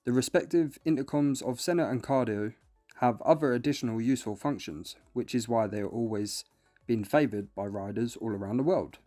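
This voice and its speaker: A man's voice: medium pitch, a little fast, warm tone. A man reading a scripted product review in an instructional, step-by-step way. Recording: music bed behind voice. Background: music.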